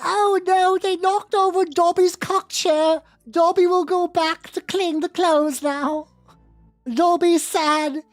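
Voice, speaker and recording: A woman's voice: very high voice, fairly even intonation, takes it slowly, dry recording, soft background music, pitched above the performer's own voice. A woman voicing a meek, miserable male house elf who pleads and refers to himself in the third person, in a comic fanfiction reading. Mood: tearful, dejected.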